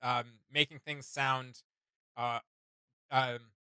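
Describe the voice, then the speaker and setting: Guy doing reaction commentary, really bright clear voice, dry sound, kinda halting as he talks. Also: mid-range voice, level tone, no echo. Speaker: reaction video host.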